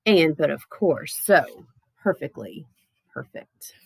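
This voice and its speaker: A woman reading a children's picture book aloud in a playful, expressive way with theatrical emphasis. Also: low-pitched, slow pace, gravelly, very noisy. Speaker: storybook reader.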